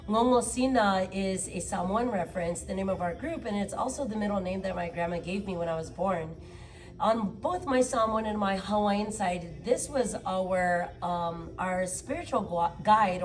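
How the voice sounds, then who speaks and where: A woman's voice: mid-range voice, a little mellow. A woman leading a dance group, giving an earnest spoken introduction to a performance with a few hesitations. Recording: distant mic with faint music.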